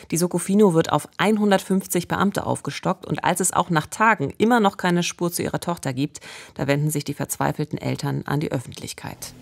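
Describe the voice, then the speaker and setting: A woman's voice: fairly low pitch, vocal fry. A woman in her thirties or forties co-hosting a true-crime podcast, narrating conversationally in a studio. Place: small indoor podcast studio. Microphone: close studio condenser microphone with pop filter.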